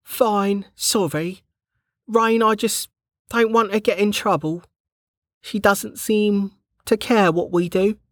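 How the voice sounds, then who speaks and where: A woman's voice: medium pitch, steady tone, slow, no echo, clean studio sound, pitched above the performer's own voice, natural voice. A narrator voicing Bregger, a dutiful and anxious female guard who speaks carefully and earnestly.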